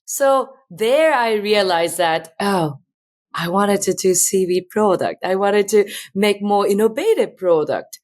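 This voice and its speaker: A Japanese-accented woman in her thirties speaks as an interview guest. Her voice sits at a mid pitch, and she talks in an earnest, chatty way, leaning on fillers and loose grammar as she explains. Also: unhurried, darkish tone. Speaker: guest, company founder. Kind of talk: casual interview talk.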